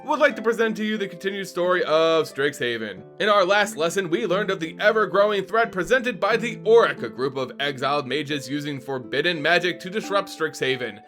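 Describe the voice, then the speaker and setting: A man narrates a fantasy story with theatrical, playful flair. His voice is bright and lively, rising and falling a lot, with soft music playing underneath. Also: fairly high pitch, fairly quick, hardly any pauses. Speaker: channel host and narrator. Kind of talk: dramatic story reading.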